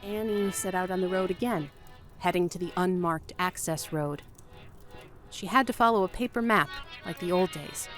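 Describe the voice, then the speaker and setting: An actress playing a frightened storyteller in a horror audio drama, with a hesitant, pleading manner. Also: female voice, lowish voice, moderate pace, soft background music, close to an everyday voice. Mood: tense, measured storytelling. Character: frightened woman storyteller desperately pleading with her captors. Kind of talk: audio drama narration and acting.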